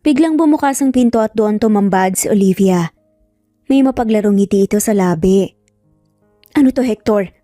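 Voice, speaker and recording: Filipino lady doing dramatic story narration, soft mellow tone, close dry recording w some music. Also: medium pitch, brisk, no echo.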